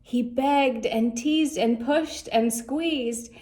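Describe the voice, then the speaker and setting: A woman reading a rhyming children's story aloud in a measured, expressive way. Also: high voice. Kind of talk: scripted storybook narration in rhyme.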